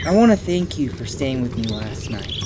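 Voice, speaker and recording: A woman's voice: very deep voice, sing-song, normal speed, background noise. A woman voicing a small, sweet, earnest male cartoon creature with an eager, boyish manner in a fan comic dub. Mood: sincere. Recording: music under the voice.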